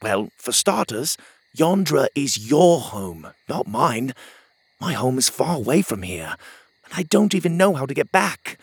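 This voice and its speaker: An earnest young boy, played by a male storyteller speaks in a raised, lively voice, hopeful and a bit unsure. A gravelly, husky edge stays under it. The sound is dry and close. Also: unhurried, no echo, heavily acted. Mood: wistful. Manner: earnest, raised higher than the narrator.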